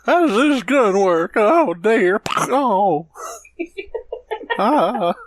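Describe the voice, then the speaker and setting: Man doing a high-voiced, hapless bumbler. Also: lively intonation, drawn-out words, heavily acted. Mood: woeful. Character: hapless, clumsy fool bewildered by a gun.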